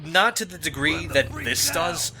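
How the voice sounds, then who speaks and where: Man in casual, halting commentary chat. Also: on the high side, animated, crowd noise. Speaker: dub producer giving commentary.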